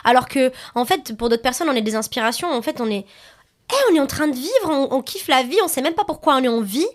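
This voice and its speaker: Higher-pitched young woman chatting informally. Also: animated, fast. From Italy. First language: Arabic and Italian. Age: young adult. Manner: informal, slangy, conversational. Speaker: video creator on a podcast. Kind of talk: casual chat.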